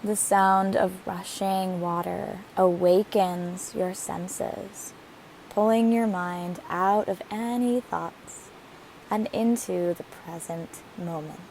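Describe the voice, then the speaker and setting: A woman leading a guided meditation in a gentle, soothing manner with deliberate phrasing. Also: lowish voice, low-quality recording. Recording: steady hiss behind the voice. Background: hiss, rushing waterfall water.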